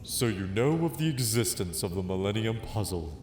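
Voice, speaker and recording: A man playing a confident, taunting young master of dark games in a manga audio drama, with a cool, theatrical delivery. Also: on the low side, lively intonation, moderate pace, noisy background, lightly acted. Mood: cool, guarded. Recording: echoey room effect.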